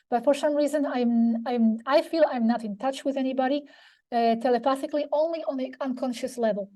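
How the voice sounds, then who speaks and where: Mellow high-voiced woman, slightly hoarse. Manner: thoughtful, searching for words with many restarts. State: slightly hoarse, losing her voice. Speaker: keynote speaker answering audience questions. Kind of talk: conference Q&A answers.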